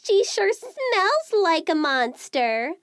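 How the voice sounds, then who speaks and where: A woman's voice: extremely high pitch, sing-song, slow, fairly bright tone, dry recording, clean studio sound, full character voice. A woman voicing a snide schoolgirl pony sidekick bully with a sneering, drawling manner. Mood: scornful.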